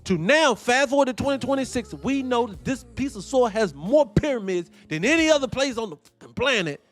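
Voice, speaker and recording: A man's voice: very high voice, brisk, soft background music. A young adult man hosting a talk show, delivering heated, profane commentary with rambling tangents. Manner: profane, animated, ranting with tangents. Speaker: host.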